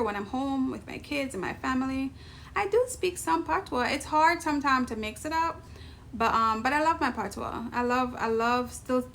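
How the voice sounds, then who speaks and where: A woman's voice: a little high, a bit bright, slight background noise. A woman from Jamaica with a Jamaican accent, chatting casually to camera in her vlog, often laughing and adding emphatic asides. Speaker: vlogger.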